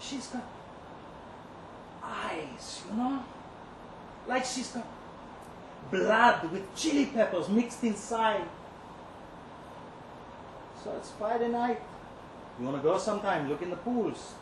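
A streetwise, lovelorn male kitchen worker, performed by a man tells his story in a slangy, cynical monologue. The pitch is high and very animated. It is a live reading, heard from a distance in an echoey, noisy room. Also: heavily acted. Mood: bitter, yearning, then restless, sardonic. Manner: colloquial, slangy, conversational swagger with halting pauses. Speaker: actor reading a short story aloud. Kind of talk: dramatic first-person monologue reading. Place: live reading event in an indoor venue. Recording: roomy, noisy live event, distant mic.

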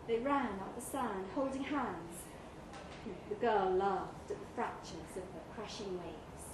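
A woman's voice: medium pitch, a little slow. A woman reading a first-person literary short story aloud at a live event in a pub. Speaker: story reader. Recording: roomy live pub recording, clear background noise.

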